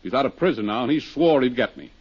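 An actor playing a rude, bad-tempered older businessman with a husky voice, barking his lines in a muffled 1950s radio drama. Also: medium pitch, expressive, fast. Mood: belligerent. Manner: gruff, barking and contemptuous.

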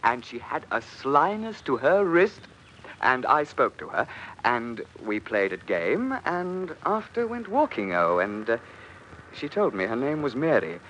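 An actor playing a giddy, jovial young English gentleman of centuries past, with flowery, theatrical phrasing in a radio drama. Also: mid-range voice, very animated, a little slow, muffled recording. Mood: smitten. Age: young adult. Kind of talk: 1950s radio acting, mock-archaic period dialogue. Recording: band-limited vintage radio, faint noise.

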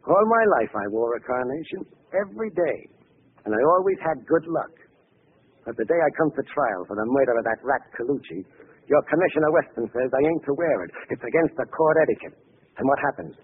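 A man's voice: mid-range voice, big pitch swings, brisk, lightly acted. A man playing a defiant, vengeful gangster in 1940s radio acting, with slangy tough-guy talk. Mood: vengeful, bitter. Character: defiant vengeful American gangster facing execution. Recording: tinny, hissy old radio recording.

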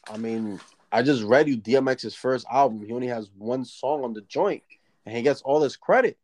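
A man talks in an easygoing, unhurried way, his pitch sitting right in the middle of the range. He cusses freely and often loses his thread, trailing off mid-thought before circling back to his point. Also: darkish tone. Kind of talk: casual chat.

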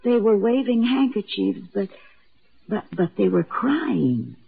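A woman's voice: mid-range voice, big pitch swings, slow pace, creaky, husky, exaggerated voice. An actress playing a timid, anxious, clinging older woman in a 1940s radio drama, nervous and hesitant. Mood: frightened, pleading. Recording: muffled, noisy 1940s radio recording.

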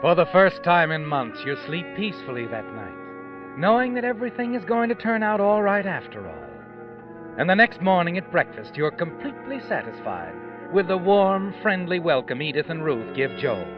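Knowing mystery narrator, theatrical, swooping delivery, music underneath, muffled vintage radio. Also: male voice, a little high, big pitch swings, normal speed. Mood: knowing, ominous. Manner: insinuating, theatrical. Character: knowing, sardonic male narrator addressing the schemer. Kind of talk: dramatic second-person radio narration.

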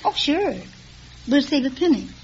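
A woman's voice: high-pitched, very animated, normal speed, a crowd in the background. Woman contestant on a muffled old radio quiz. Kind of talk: casual conversation with a host. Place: radio studio before an audience. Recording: dull old radio recording with faint noise.

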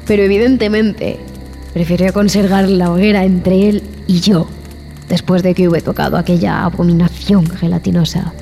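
An actress playing a frightened, repulsed expedition zoologist, narrating a horror audio drama in a deliberate, theatrical way with dramatic pauses. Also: female voice, on the low side, fairly even intonation, creaky, warm tone. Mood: haunted, fearful. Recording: long reverb, music behind.

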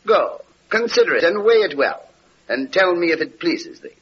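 An actor playing a magnanimous, imperious Roman emperor in a classic radio drama, speaking in a grand, stately manner. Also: male voice, sits high, very animated, moderate pace. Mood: grandly generous. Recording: dull, noisy old radio recording.

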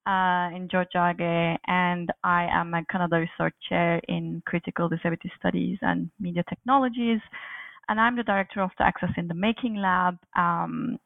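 Gravelly-voiced woman on a tinny line. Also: on the low side, no echo. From Turkey. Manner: hesitant, many ums and restarts. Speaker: author and professor, interview guest. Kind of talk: conversational interview answers.

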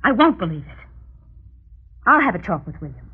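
An actress playing a proper, stubbornly disbelieving wife in an old-time radio drama, with a slightly husky voice and a polite, clipped, defensive manner. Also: female voice, medium pitch, sing-song, moderate pace, slight background noise, lightly acted. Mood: firm, agitated. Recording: dull, lo-fi vintage radio recording.

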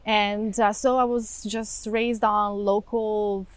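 A woman's voice: mid-range voice, level tone, dry recording. Italian woman shop owner chatting, lots of restarts, laughs a lot. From Italy. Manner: halting, restarts often, laughs easily. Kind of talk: casual interview chat.

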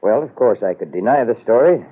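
An actor playing a proud, wily middle-aged businessman in a 1940s radio soap, husky, wry and measured. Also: lowish voice, lively intonation. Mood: wary, defiant. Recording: muffled old radio broadcast.

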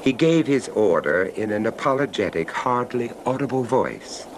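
An actor playing a reserved, urbane traveler who narrates a radio suspense tale in a measured, polite, literary manner. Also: male voice, sing-song. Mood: measured, wry. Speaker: narrator and character. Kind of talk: old-time radio horror narration and acting. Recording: noisy radio recording, train rumble.

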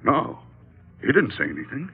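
Tinny, husky, uneasy sailor. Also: male voice, mid-range voice, wildly swooping pitch, moderate pace, full character voice. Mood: uneasy, defensive. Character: uneasy, loyal, rough-and-ready sailor in trouble. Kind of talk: old radio drama acting. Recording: thin, phone-like vintage radio recording.

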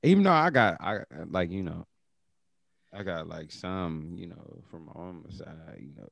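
Deep gravelly man, loud joking. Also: expressive, moderate pace, no room sound. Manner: loud, laughing, crude joking. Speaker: podcast co-host. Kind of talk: casual podcast banter.

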